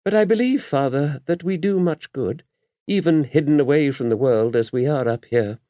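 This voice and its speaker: Man voicing a high-pitched, genteel older abbess. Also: warm tone, no room sound, lightly acted. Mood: earnest. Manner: brisk, genteel, confiding. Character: older abbess, sharp, shrewd, confiding, gently wry woman. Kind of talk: audiobook character voice. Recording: dull, muffled lo-fi audio.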